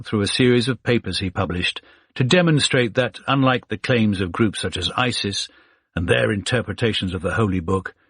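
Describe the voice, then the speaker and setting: A male audiobook narrator reading a thriller in a measured, even storytelling manner. His voice is low and husky. The sound is dry and close. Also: no room sound.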